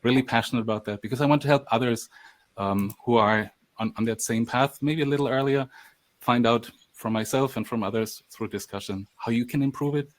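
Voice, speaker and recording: A man speaking on a panel in a measured, articulate way. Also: medium pitch. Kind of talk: panel discussion.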